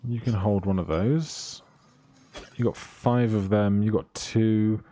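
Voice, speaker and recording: Low, mellow man commenting on a game. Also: steady tone, slow pace. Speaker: let's play host.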